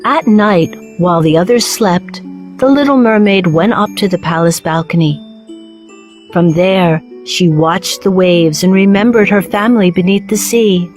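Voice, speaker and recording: A woman reading a children's storybook as narrator, with measured, clearly phrased delivery. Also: fairly low pitch, a little mellow, soft background music.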